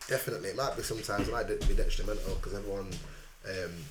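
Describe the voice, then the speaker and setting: Deep-voiced Sheffield rapper, laid-back talk. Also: male voice, steady tone, fast. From Sheffield, England. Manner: laid-back, lots of fillers and repeated "obviously". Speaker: rapper guest. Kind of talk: casual interview chat. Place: recording studio. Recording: roomy studio with faint noise.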